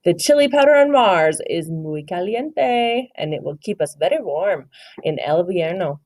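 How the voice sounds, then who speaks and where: Storybook Chihuahua dude read by a woman: small, cheeky, slangy, lively pitch, stretched emphatic phrases. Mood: breezy, boastful. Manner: slangy, emphatic, drawn-out delivery. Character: gang of small cheeky male Chihuahua amigos.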